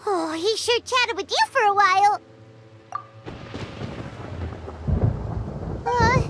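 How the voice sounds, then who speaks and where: Game fairy companion: very high, squeaky and childlike, excitable and blunt, over clearly noisy sound. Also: female voice, full character voice. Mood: urgent. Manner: refers to self in third person, exclaiming.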